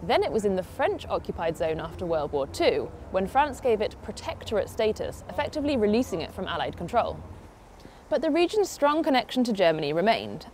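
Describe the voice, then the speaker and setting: A woman's voice: mid-range voice, slight background noise. A woman in her thirties presenting a travel show, speaking to camera in an upbeat, scripted way. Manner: lively, upbeat storytelling. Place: outdoors in town streets and vineyards.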